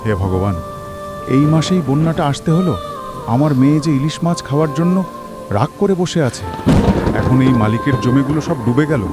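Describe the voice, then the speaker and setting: A worn-out, despairing cartoon father, as a man acts him out sighs and laments. Music runs under his plain, mid-pitched voice. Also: expressive, moderate pace, long pauses, natural voice. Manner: sighing, despairing. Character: poor weary village day-labourer father.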